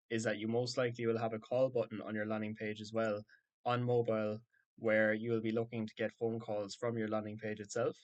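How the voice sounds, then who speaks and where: A man narrating a step-by-step software tutorial in a matter-of-fact, instructional way. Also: on the low side. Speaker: tutorial presenter.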